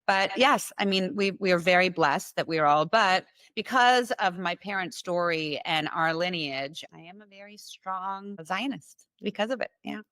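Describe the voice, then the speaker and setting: A woman's voice: lowish voice, normal speed, hardly any pauses, a bit bright, no room sound. A middle-aged woman from Iran, raised in Los Angeles, telling her family story as an interview guest, earnest and flowing with many fillers and restarts.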